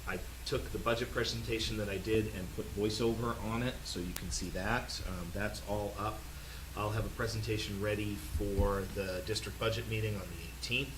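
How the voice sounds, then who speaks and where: Man administrator, lowish voice, relaxed with many fillers, noisy board room. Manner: relaxed, many ums and restarts. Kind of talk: meeting report. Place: school board meeting room.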